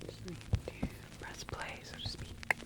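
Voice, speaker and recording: A woman whispering softly and breathily in a gentle, reassuring ASMR clinic roleplay. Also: a little slow. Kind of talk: ASMR roleplay, soothing patient-facing talk.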